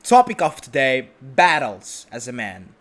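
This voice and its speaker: A man's voice: mid-range voice, wildly swooping pitch, measured pace, a bit bright. A man sitting on his sofa at home, delivering an unedited vlog monologue in a brash, self-assured way, rambling with restarts and the odd swear word. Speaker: vlog host.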